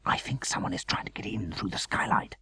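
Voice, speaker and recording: A man voicing an anxious, quick-witted mother in an audiobook, with a nervous, hushed manner. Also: lowish voice, husky, exaggerated voice. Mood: nervous whisper.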